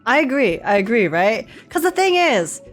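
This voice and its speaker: A woman streamer giving casual, slangy live reaction commentary on a game, thinking aloud in an animated way. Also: fairly high pitch, normal speed, faint music behind.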